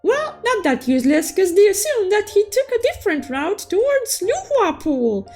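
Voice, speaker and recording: A woman voicing a cute, excitable young unicorn who recaps a story with playful, theatrical exclamations. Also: very high-pitched, moderate pace, faint music behind. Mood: playful storytelling. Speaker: storytelling host. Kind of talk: animated story recap narration.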